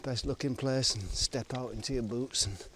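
A man's voice: medium pitch, normal speed. A man rambling on in a loose, musing way while cycling outdoors, recorded on a camera mounted on his bike. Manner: musing, wandering, loose and unscripted. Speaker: vlogger. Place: outdoors on a country road and woodland, riding a bike. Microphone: action camera on the bike. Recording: noisy outdoor action-camera audio.

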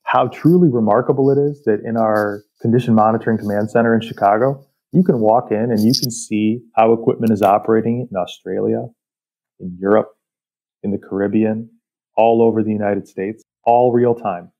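A man speaking as an expert podcast guest, explaining industrial work in a measured, technical way. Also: on the low side, fairly even intonation, mellow, low-quality recording. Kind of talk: explanatory interview talk about industry.

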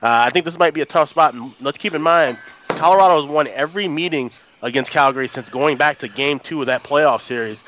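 A man of thirty with a headset, giving a steady analytical breakdown in a casual sports betting chat. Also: fairly high pitch, slight background noise, poor audio. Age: 30s. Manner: brisk, analytical. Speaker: guest handicapper.

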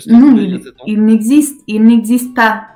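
A woman's voice: a little high, takes it slowly, dark tone, faint music behind. A young woman chatting casually on a webcam call. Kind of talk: casual chat. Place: small room, indoors.

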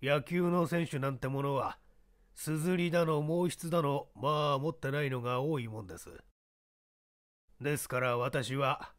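A man playing a shrewd, self-assured baseball scout in a mystery story reading, explaining himself in a measured, worldly way. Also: medium pitch, steady tone, lightly acted. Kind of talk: radio-drama style mystery reading.

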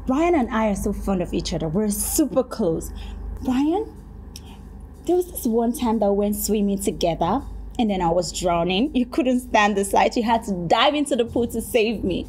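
A woman's voice: mid-range voice, expressive, moderate pace, a little mellow, slight background noise, natural voice, rough recording. A woman with a Nigerian accent acting as an overfamiliar, meddling best friend in a screen drama. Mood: smug. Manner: chatty, reminiscing. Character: meddling, overfamiliar young female best friend, smug and self-pitying.

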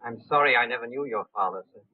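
A courteous, deferential manservant acted by a man who speaks quickly in a slightly husky, lively voice. The old film sound is thin and phone-like. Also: medium pitch, lightly acted. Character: polite, deferential manservant to a gentleman. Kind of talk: 1930s film acting. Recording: scratchy, tinny 1930s film soundtrack.

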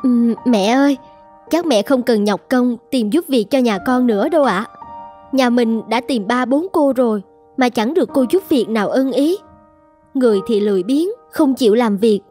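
A woman's voice: a little high, moderate pace, mellow, soft background music, natural voice. A woman voicing a polite, dutiful young mother in a cartoon, speaking earnestly. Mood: weary complaint. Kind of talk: cartoon dubbing.

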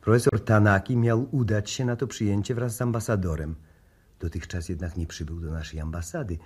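An actor playing a formal, guarded embassy diplomat, over-polite and unruffled, in a 1960s-style Polish radio drama. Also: male voice, lowish voice, steady tone, brisk, darkish tone, husky.

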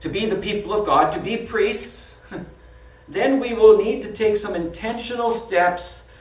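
A man's voice: high voice, a little slow. A man with a Canadian accent, a pastor giving a conversational sermon with slides, laughing at himself often. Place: small church hall. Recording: dull, roomy sound from far off.

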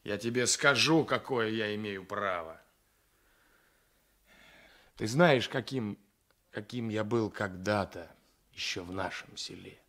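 An actor playing a proud, hot-tempered gendarme and bitter former lover in a radio drama, taunting and impassioned. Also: male voice, on the low side, slow pace, pauses often, sharp tone, full character voice. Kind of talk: 1980s Soviet radio drama acting.

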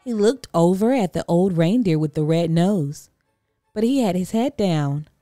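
A woman's voice: fairly low pitch, expressive, a little slow, warm tone, no room sound, soft background music. A woman narrating a children's storybook expressively as a read-aloud.

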